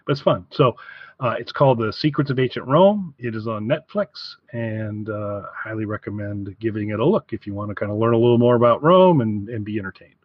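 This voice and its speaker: A man co-hosting a casual review podcast, talking haltingly as he repeats and rephrases his way through each point. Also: on the low side, no echo. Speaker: podcast co-host. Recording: dry, slightly dull podcast audio.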